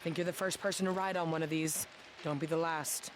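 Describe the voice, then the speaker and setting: A woman's voice: low-pitched, fairly even intonation, brisk, background noise, lightly acted. An actress playing a determined, guarded rebel woman soldier in a video game cutscene, speaking earnestly and with command. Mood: firm, persuasive. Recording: loud music bed.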